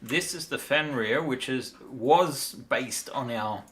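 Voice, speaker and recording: A man's voice: medium pitch, takes it slowly, a bit bright. A tired man talking casually and in a rambling way as he hosts a livestream demo. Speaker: livestream host, miniature designer.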